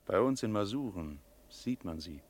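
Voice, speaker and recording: A low-pitched, haunted wanderer from Masuria, played by a man in a radio drama. He speaks solemnly and poetically of unseen things, with clear background noise around him. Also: animated, normal speed, darkish tone, lightly acted. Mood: haunted, mystical. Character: eerie, mystical wandering stranger from Masuria. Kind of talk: German radio drama acting.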